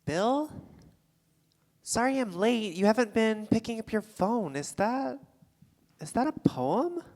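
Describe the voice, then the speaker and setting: A woman's voice: on the high side, big pitch swings, slow, darkish tone, full character voice. An actress playing a defensive, rattled woman with a secret affair in a live staged play reading. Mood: flustered. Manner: indignant, sarcastic, stammering when rattled. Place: theatre stage before a live audience. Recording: live theatre stage, long hall reverb.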